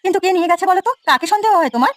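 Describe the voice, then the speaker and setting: A woman's voice: extremely high pitch, lively intonation, racing, no room sound. A woman voicing a simple younger village woman in a cartoon folk tale, pleading and questioning. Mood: concerned.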